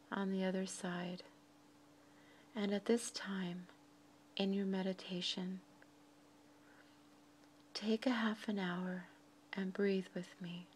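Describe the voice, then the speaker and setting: Woman, meditation guide, soft breathy delivery, medium pitch, measured short phrases, frequent pauses, noticeable background noise.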